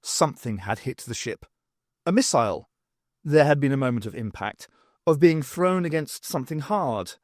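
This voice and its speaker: A man reading scripted story narration as an audiobook narrator, with expressive, dramatic phrasing. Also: medium pitch, moderate pace, dry recording, studio quality.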